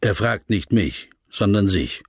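An actor playing a reserved, observant interviewer who narrates his inner thoughts in a literary radio play, in short measured phrases. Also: lowish voice, lively intonation, breathy edge, no room sound, studio quality, full character voice. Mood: pensive, observing.

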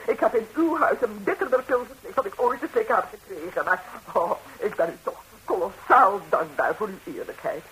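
An actress playing a chatty, middle-aged gossiping wife with theatrical exclamations in a 1960s radio comedy. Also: female voice, mid-range voice, brisk, few pauses, a bit bright, natural voice, rough recording. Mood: flustered. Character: gossiping Madrilenian wife, flustered, indignant, melodramatic. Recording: hissy old 1960s radio recording.

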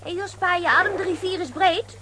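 A woman's voice: very high-pitched, a little fast, noisy background. A woman playing an eager, inquisitive child adventurer in a children's audio drama. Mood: anxious, curious. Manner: inquisitive, excitable.

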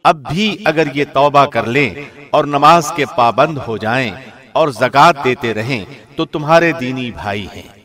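A man reading a scripture translation in a formal, measured, solemn way, phrasing clearly like a professional radio presenter. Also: mid-range voice, fairly bright tone.

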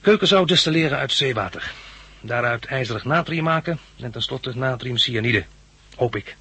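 A man's voice: medium pitch, lively intonation, normal speed, bright, forceful tone, breathy edge, full character voice. An actor playing a practical, easygoing male survivor in a 1960s radio drama.

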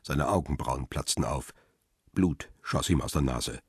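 An actor playing a grim male photographer who narrates a crime radio drama in a measured, terse, husky voice. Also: extremely low pitch, no echo, clean studio sound, exaggerated voice. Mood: grim, tense. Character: middle-aged male photographer Peter Lime, grim first-person narrator.

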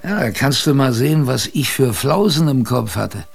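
A man's voice: mid-range voice, animated, moderate pace, gravelly, breathy edge. An actor playing a former ship's boy who narrates his memories in a radio drama, conversational, vivid and self-mocking. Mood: wry, chatty. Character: reminiscing former ship's boy, a wry male storyteller.

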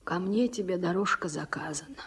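An actress playing a guarded, dismissive village mother in a radio play, in terse lines with a husky voice. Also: fairly low pitch, normal speed. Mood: cool, dismissive. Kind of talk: Soviet radio play acting.

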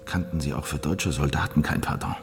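A man's voice: a bass voice, fairly even intonation, a little fast, vocal fry, faint music behind. A man narrating a scripted radio documentary in a formal, factual manner. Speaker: narrator and interviewer.

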